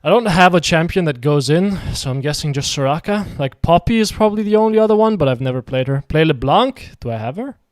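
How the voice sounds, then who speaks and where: A man's voice: fairly high pitch, lively intonation, brisk, hardly any pauses, no echo, clean studio sound. A man from Denmark chatting casually while streaming a game, rambling and answering his viewers' messages. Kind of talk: casual live game commentary.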